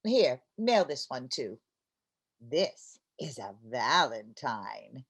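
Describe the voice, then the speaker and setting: A woman playing an excitable, chatty, scheming housewife in an old-time radio comedy, with a theatrical manner. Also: rumbling low, breathy edge, exaggerated voice. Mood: brisk. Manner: theatrical, quick to jump to conclusions.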